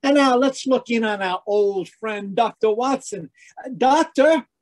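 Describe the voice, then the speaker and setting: A man playing a cheery, folksy announcer and sponsor pitchman in the style of 1940s radio. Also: a little slow, a little mellow. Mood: curious, friendly. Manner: folksy, hearty salesmanship. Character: cheery, folksy male radio announcer, eager sidekick listener. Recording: live video-call performance.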